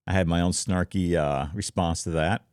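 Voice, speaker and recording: A man with a very deep voice gives a casual, explanatory talk in a streamer's style. His delivery is informal and demonstrative. The sound is dry and close, recorded on a microphone near his mouth in a treated room. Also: a little mellow, smooth, dry recording. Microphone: close foam-covered microphone, slightly offset from mouth.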